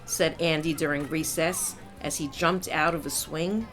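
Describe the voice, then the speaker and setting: A woman reading a children's picture book aloud as a narrator, in a measured storytelling style with clear emphasis. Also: deep voice, steady tone, fairly bright tone, soft background music.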